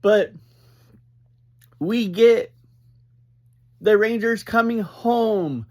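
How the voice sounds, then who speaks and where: A man hosting a casual, rambling review, upbeat and emphatic, who speaks haltingly and gets easily sidetracked. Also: sits high. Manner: upbeat, energetic. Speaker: podcast reviewer and host.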